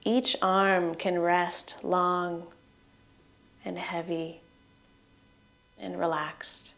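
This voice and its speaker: A woman leading a guided relaxation in soothing, gentle short phrases that trail off softly at the ends. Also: lowish voice, little pitch movement, extremely slow. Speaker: meditation guide.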